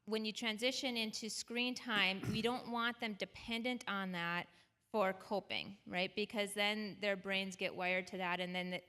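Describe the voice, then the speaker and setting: Bright-voiced woman giving parenting advice. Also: mid-range voice, fairly even intonation, measured pace. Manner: conversational, keeps checking in with "right?". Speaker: parenting panelist. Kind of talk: advising at a panel discussion. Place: church auditorium.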